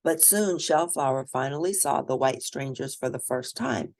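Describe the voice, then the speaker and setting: A woman reading a children's story aloud and discussing it in an expressive, teacherly manner. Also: a bass voice, moderate pace, dry recording. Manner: expressive, teacherly, asks questions of listeners. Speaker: storybook reader.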